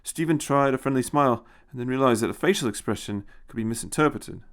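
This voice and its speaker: A man's voice: mid-range voice, quick pace. A man reading a scripted story as a narrator, in a dramatic storytelling style. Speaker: audiobook narrator.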